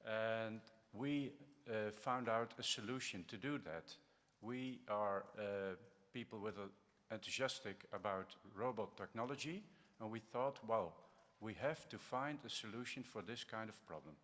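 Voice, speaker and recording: A man's voice: on the low side, a little slow, a bit bright. Dutch-accented male presenter, earnest storyteller, hall echo. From Netherlands. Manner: earnest, vivid storyteller with restarts. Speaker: conference speaker. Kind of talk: storytelling keynote pitch. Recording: reverberant conference hall.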